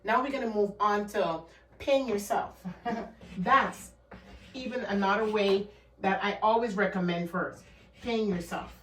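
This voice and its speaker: A woman's voice: medium pitch, measured pace, long pauses, a bit bright, distant mic, slight background noise. A woman from Guyana with a Guyanese accent, a channel host giving earnest, emphatic money advice to camera. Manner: earnest, repeats points, emphatic. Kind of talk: casual talk-to-camera advice. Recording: echoey room, off the mic.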